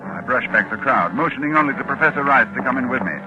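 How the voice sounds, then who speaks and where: An actor playing a grave, horror-struck scholarly doctor, with dramatic pauses and halting repetitions, in 1940s radio drama style. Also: male voice, medium pitch, animated, natural voice. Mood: tense recounting. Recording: muffled 1940s radio, loud music.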